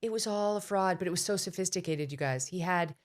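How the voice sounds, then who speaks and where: Brief: woman host, middle-aged, low pitch, quick storyteller. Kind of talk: podcast interview.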